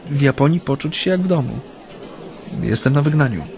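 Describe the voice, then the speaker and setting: An actor in a naturalistic radio drama playing a proud, homesick exiled Japanese activist, speaking hesitantly and reflectively with humming pauses. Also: male voice, on the high side, animated, a little mellow. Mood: melancholy, dignified. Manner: hesitant, reflective, pausing with hums.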